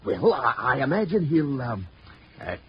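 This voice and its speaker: An actor playing an earnest, naive amateur detective's assistant in an old radio drama, with a nervous, hesitant way of talking. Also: male voice, medium pitch, very animated, a little slow, faint music behind. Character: eager, nervous male amateur sidekick, married, naive. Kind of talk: 1950s radio drama acting. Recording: dull, noisy vintage radio recording.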